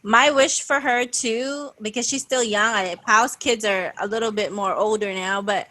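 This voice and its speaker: A Filipino woman with an accent hosting a casual podcast chat, upbeat and quick to laugh. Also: medium pitch, sharp tone, low-quality recording. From Philippines. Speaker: podcast host. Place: video call.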